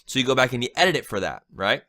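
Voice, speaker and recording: Young guy w a cold, kinda nasal, bright voice, casual chatty tutorial, keeps saying right?. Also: medium pitch, very animated, very fast. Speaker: online marketing trainer. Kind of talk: screen-share tutorial.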